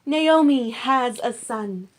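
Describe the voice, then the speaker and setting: Woman voicing high, jubilant biblical townsfolk. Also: slow pace, low-quality recording. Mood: joyful praise. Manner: exclamatory, ceremonial. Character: jubilant biblical townsfolk giving solemn blessings.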